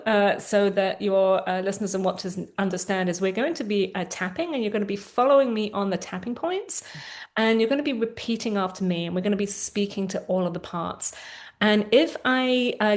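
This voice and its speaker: A woman therapist guiding a tapping exercise, explaining gently with frequent fillers, then leading phrases to repeat. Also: mid-range voice, animated, fairly quick. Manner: gentle, instructive, many fillers. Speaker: therapist and coach. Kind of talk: guided tapping session.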